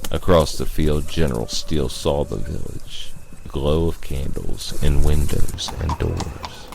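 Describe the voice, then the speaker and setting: A man's voice: a bass voice, lively intonation, unhurried, gravelly, darkish tone, a little hiss or hum, low-quality recording. A man telling a horror story as a dramatic scripted narration. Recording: music under the voice.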